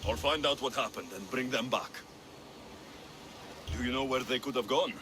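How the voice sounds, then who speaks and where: An actor playing a confident, direct ancient Greek mercenary with a dry manner in a video game cutscene. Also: male voice, medium pitch, moderate pace, noisy background, natural voice.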